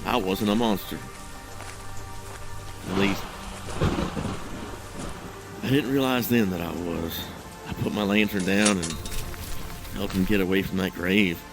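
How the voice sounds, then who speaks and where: An actor playing a troubled, guilt-ridden husband in an audio drama, recounting a memory in a halting, hesitant, brooding monologue. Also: male voice, low-pitched, music in the background. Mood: haunted.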